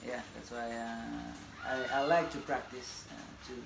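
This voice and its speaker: Slow, halting Filipino man, gravelly. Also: mid-range voice, steady tone, music in the background. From Philippines. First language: Bisaya. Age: 40s. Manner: halting, many fillers, laughs often. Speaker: tennis coach. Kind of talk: casual self-introduction to camera. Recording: echoey room, audible background noise.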